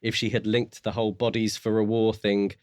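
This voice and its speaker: A man co-hosting a podcast in a casual book discussion, talking in a measured, explanatory and courteous way. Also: lowish voice, little pitch movement, darkish tone, no room sound, studio quality. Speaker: podcast co-host.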